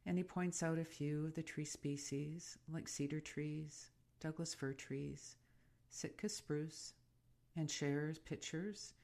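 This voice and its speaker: A woman guiding a meditation in a soothing, unhurried and gently instructive way. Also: deep voice, gravelly. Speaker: meditation guide and podcast host. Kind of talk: guided meditation narration.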